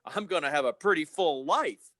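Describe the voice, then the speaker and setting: Man playing an eager, high-voiced young dreamer. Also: expressive, normal speed, a bit bright, no echo, clean studio sound. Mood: breezy. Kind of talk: old-time radio play acting.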